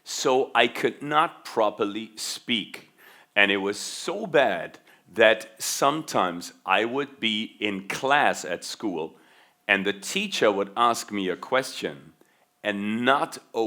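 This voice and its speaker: Middle-aged German-born male keynote speaker; low, bright, driving delivery, emphatic repeats. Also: expressive, slow pace. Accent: faint American-schooled German. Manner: emphatic, stretches key words, repeats for stress. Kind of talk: motivational keynote talk.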